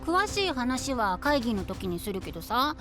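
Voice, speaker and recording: A man's voice: very high-pitched, sing-song, normal speed. A man voicing a boyish, candid, self-deprecating young knight in an anime-style voice drama, with casual, changeable delivery. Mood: casual, teasing. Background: prominent background music.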